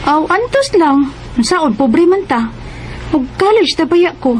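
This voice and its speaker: A high-voiced, eager teenage student. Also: female voice, big pitch swings, measured pace, a little hiss or hum, exaggerated voice, rough recording. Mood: hopeful, earnest. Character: grateful, eager 19-year-old working student daughter. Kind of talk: Cebuano radio drama acting.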